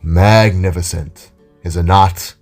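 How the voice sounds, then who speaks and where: A man's voice: deep voice, fairly even intonation, slow, a little mellow, faint music behind, voice pushed down. A man voicing an older, kindly and enthusiastic robot teacher with a theatrical, explanatory way of talking. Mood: proud. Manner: showy, explanatory.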